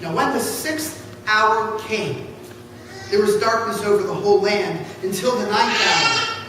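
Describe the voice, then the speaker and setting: A pastor, a man, gives a dramatic scripture reading with a theatrical, deliberate, projected delivery in a church. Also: high voice, lively intonation. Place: large church interior. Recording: echoey church hall from far off, noisy.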